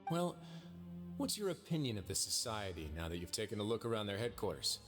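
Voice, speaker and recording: A wry, composed prison overseer from an anime game talks in a fairly low voice. He is measured and self-assured, explaining things calmly. Faint music runs behind him. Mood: measured, explanatory. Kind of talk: anime video game voice acting.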